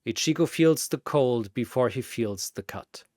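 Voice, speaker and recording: A male narrator tells a dramatic fantasy battle story. His delivery is slow and theatrical, with pitch that rises and falls widely. The recording is dry and close, with no room sound. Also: expressive, a little mellow, dry recording. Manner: theatrical, deliberate.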